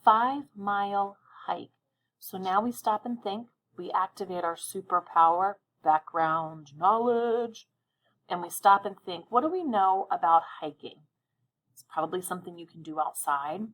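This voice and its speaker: Female elementary teacher, medium pitch, encouraging and instructional, guiding young kids through a read-aloud. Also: a little slow, a bit bright, poor audio. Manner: encouraging, addresses children directly with check-in questions.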